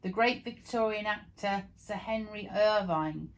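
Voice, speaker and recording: A woman's voice: mid-range voice, measured pace, slight background noise. A woman reading printed text aloud in an informal, amateur storytelling way, now and then tripping over words. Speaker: vlog co-presenter reading aloud.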